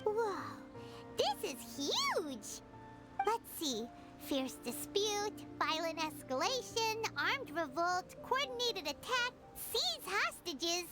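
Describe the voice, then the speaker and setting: Game sidekick: tiny cheerful fairy, extremely high, animated, drawn-out delivery. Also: female voice, full character voice. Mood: excited, amazed. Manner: refers to self in third person, exclaiming. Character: small excitable childlike fairy companion, cheerful and naive. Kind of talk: video game voice acting. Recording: game music behind the voice.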